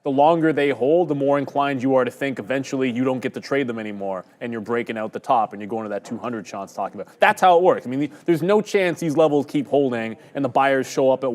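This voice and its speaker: A man's voice: medium pitch, a little mellow. A man hosting a live stock-trading stream, giving rambling, conversational, self-deprecating market commentary. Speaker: day-trading stream host.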